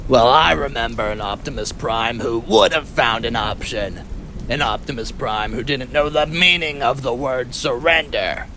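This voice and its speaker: A man voicing a gruff, defiant old robot warrior in a comic dub, bitter and challenging. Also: mid-range voice, moderate pace, pushed and bright, a little hiss or hum, pitched above the performer's own voice. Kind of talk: fan comic dub acting.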